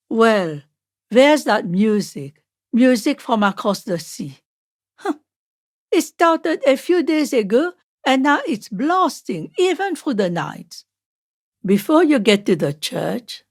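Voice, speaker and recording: A woman's voice: mid-range voice, no echo, studio quality, exaggerated voice. A woman playing an old washerwoman in a video game, plain-spoken and unafraid, with a folksy, wry, blunt way of talking. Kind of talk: video game character dialogue.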